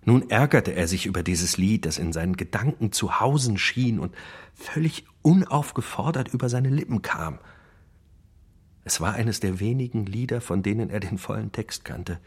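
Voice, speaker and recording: A man playing the reflective narrator of a gangster's memoirs in a radio play, telling it in a measured, literary way. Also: lowish voice, animated, husky. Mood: wistful.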